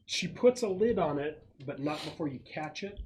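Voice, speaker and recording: A man narrating a tabletop roleplaying game as game master, explaining things in a halting, thoughtful way with pauses. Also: fairly high pitch, animated, a crowd in the background. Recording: clearly noisy room recording with clicks.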